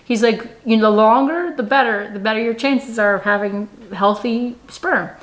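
A woman's voice: fairly high pitch, normal speed, rough recording. A woman in her thirties hosting her own channel, chatting casually and laughing often as she tells a personal story. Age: 30s. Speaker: channel host. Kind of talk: casual couple vlog chat.

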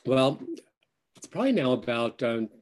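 A man in his sixties, nasal and stuffy from a cold, speaking as a guest author at a virtual event, hesitant with many pauses. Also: medium pitch, sing-song. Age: 60s. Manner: hesitant, many ums, self-deprecating. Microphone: video call.

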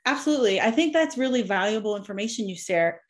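A woman's voice: mid-range voice, lively intonation, fast. A woman hosting a podcast, upbeat and encouraging as she introduces her guest and asks him questions. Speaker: podcast host. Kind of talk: podcast interview.

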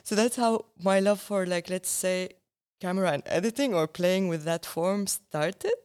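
Lowish-voiced woman. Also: moderate pace, a little mellow, clean tone. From Syria. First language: Arabic. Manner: searching for words, many restarts. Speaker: guest filmmaker. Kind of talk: casual conversation.

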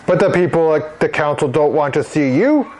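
A man voicing a big, fat, angry doorkeeper in a storybook, bullying and gruffly commanding. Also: on the high side, expressive, normal speed, a little mellow, close to an everyday voice, low-quality recording. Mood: stern.

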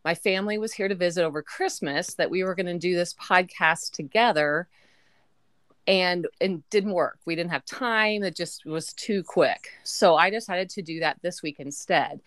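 A woman in her forties hosting a casual family podcast, chatty and quick to laugh. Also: fairly low pitch, fairly bright tone, no room sound. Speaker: podcast host. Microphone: remote call.

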